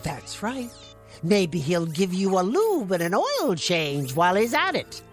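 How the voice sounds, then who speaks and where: A sharp-tongued woman lets her pitch leap and dip as she needles and mocks. Her sarcasm is quick and biting, with faint music heard behind her in this radio play. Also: wildly swooping pitch. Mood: sarcastic. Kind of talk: radio drama acting.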